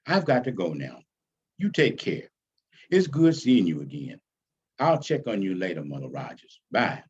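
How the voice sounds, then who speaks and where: A man voicing a kindly, fatherly, gently chiding pastor in a storybook reading. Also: sits low, big pitch swings, unhurried, breathy edge, muffled recording, pitched below the performer's own voice, exaggerated voice. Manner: fatherly, preacherly.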